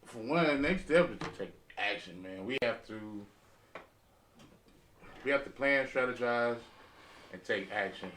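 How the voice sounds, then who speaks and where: A man's voice: medium pitch, animated, a little slow, long pauses, fairly bright tone, a little hiss or hum. An American man talking casually and emphatically in a round-table discussion, repeating phrases for stress. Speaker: podcast panelist. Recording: roomy echo, distant from mic.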